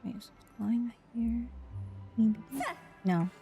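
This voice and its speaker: Mellow woman chatting on a game stream. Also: fairly high pitch, fairly even intonation, slow, vocal fry, faint music behind. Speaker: livestreamer. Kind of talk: casual gaming stream chatter.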